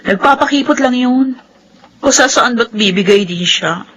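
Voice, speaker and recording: An actress playing a vengeful, scheming young woman in a radio soap, confident and defiant, with a husky voice. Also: fairly high pitch, expressive, moderate pace, fairly bright tone, exaggerated voice, rough recording. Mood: sly, determined. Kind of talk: radio soap opera acting.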